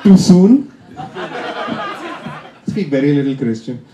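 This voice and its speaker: A man's voice: on the high side, very animated, slow, lo-fi sound, noisy background. Indian man doing stand-up, roomy club. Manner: dry, teasing delivery with crowd work. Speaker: stand-up comedian. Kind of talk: stand-up comedy set. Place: comedy club stage. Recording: roomy live club sound.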